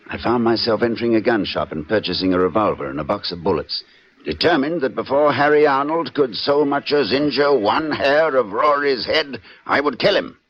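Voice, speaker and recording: A man's voice: medium pitch, natural voice. An actor playing a jittery, unraveling man in an old radio suspense drama, with intense, clipped theatrical delivery. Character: jittery, unraveling man confessing to a police lieutenant. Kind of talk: 1940s-50s radio suspense acting. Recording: dull old radio recording, faint music.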